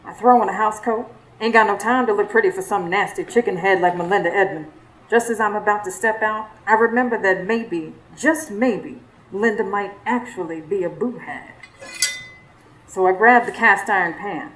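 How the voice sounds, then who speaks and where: A woman's voice: mid-range voice, normal speed, a bit bright, close to an everyday voice. A woman playing a sassy, superstitious Southern woman in a live radio drama, narrating her own confrontation dramatically. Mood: indignant, determined. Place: studio before live audience. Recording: roomy live stage, faint noise.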